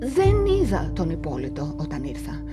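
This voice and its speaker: An actress narrating a radio play in the first person as a nervous events manager, often dropping into confiding whispers. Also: female voice, low voice, wildly swooping pitch, over music. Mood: earnest. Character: nervous, talkative female events manager caught up in a murder.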